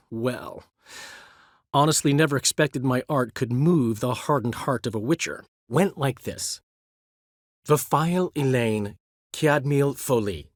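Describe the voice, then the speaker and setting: A man's voice: on the high side, no room sound, studio quality. An actor playing a flamboyant, vain and witty middle-aged male bard in a video game, teasing and theatrical with a showman's flourish. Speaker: game character. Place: recording studio. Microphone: close studio mic.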